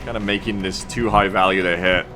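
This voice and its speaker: A man's voice: deep voice, fairly even intonation, fairly quick. A man live streaming a video game, thinking aloud in a wry, rambling, sweary way. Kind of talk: casual live gameplay commentary. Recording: music and game sound behind the voice. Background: tactical video game audio and music.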